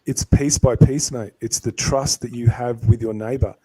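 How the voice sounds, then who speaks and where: Aussie man, husky mellow voice, lecturing on a live stream. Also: medium pitch, steady tone, normal speed, low-quality recording. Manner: lecturing, answers viewer comments.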